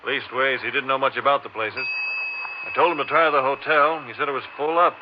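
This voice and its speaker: An actor playing a folksy, hesitant small-town gas station owner who talks in a halting, obliging way in 1950s radio drama style. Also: male voice, medium pitch, fairly even intonation, lightly acted. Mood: obliging, curious. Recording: muffled, noisy old 1950s radio recording.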